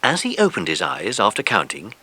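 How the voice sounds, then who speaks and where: A man reading scripted narration for a children's audiobook in an expressive storytelling manner. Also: fairly low pitch, brisk, husky.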